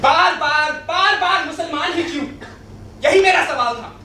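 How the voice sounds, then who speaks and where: A man's voice: very high-pitched, normal speed, bright, forceful tone, exaggerated voice. Echoey actor as an indignant male clerk narrator. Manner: dramatic storytelling with emphatic repeated phrases. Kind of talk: theatrical stage monologue. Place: theatre stage in an auditorium. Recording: echoey auditorium, distant noisy mic.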